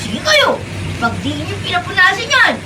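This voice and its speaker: A woman's voice: very high-pitched, sing-song, moderate pace. A woman playing a cheeky, eager street boy in a radio drama, pleading and boastful with a Filipino accent. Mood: cheeky, insistent. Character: cheeky eager street boy who washes car windshields. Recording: loud street traffic noise behind.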